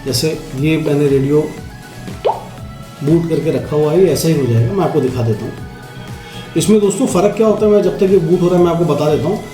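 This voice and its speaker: A man with a soft, mellow tone explains a product step by step. He talks casually and instructively, straight to the viewer. The room is noticeably echoey, with faint music underneath. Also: a little high, fairly quick, long pauses. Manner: instructional, addresses viewers directly with frequent check-in tags. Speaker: product demonstrator. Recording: roomy echo, faint music.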